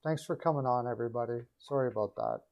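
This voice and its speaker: A man hosting a live hockey chat stream, hoarse and losing his voice, talking in a low-energy, hesitant way. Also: wildly swooping pitch, fast, a little mellow. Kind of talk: casual hockey chat with viewers. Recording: dull lo-fi stream audio.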